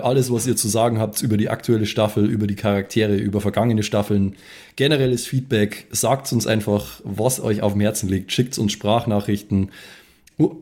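A man's voice: on the low side, a little mellow. A man hosting a podcast, speaking in casual chat. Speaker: podcast host.

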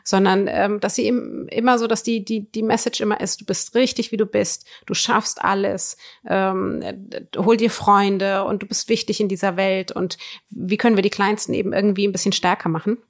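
A woman with a medium-pitched voice presents book tips as a podcast host. She speaks with enthusiasm and puts emphasis on the points she cares about. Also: level tone, normal speed. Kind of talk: book recommendation, partly scripted, partly conversational.

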